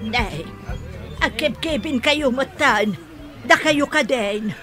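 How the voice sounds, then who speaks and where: An unhurried, gentle old grandmother, voiced by a woman in a radio drama. She speaks at a slow, careful pace in a mid-range voice, with soft music faintly underneath. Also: sits high, lively intonation, a crowd in the background. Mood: pleased. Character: kindly elderly grandmother of the family.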